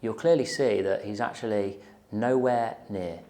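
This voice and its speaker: A man's voice: lowish voice, unhurried, mellow. A man in his thirties giving an informal, explanatory guitar tutorial to camera, often checking in with the listener, recorded on a lapel mic in a small room. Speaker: guitar teacher. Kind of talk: instructional tutorial talk to camera. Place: small plain indoor room.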